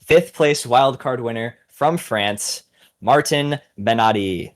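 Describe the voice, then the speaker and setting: A man's voice: mid-range voice, slow pace. A man hosting a podcast, giving casual, informal commentary and sounding enthusiastic about competitors. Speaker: podcast host.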